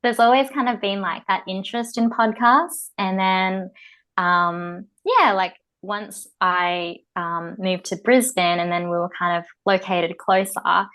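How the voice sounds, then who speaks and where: A woman's voice: mid-range voice, fairly even intonation, a little slow, fairly bright tone. Chatty young Australian woman. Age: 20s. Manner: chatty, many fillers, giggly. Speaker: guest podcaster. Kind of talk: casual chat.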